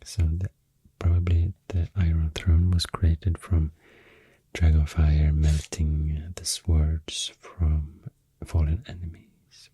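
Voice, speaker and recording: A man with a Swedish accent speaking in a soft, breathy near-whisper, rambling in an unhurried, hesitant ASMR explanation. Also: a bass voice, flat delivery, dry recording. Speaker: ASMR creator. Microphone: close-up ASMR microphone.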